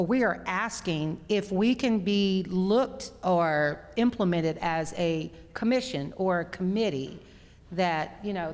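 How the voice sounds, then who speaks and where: A woman with a loud, carrying voice making a request at a community meeting. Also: mid-range voice. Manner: loud voice that carries. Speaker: community participant. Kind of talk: unscripted meeting remarks. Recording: reverberant hall.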